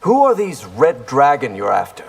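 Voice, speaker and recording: An actor playing a wry, easygoing ninja in a video game, with casual, teasing delivery. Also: male voice, mid-range voice, wildly swooping pitch, moderate pace, creaky, soft background music. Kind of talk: video game character dialogue. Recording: long reverb.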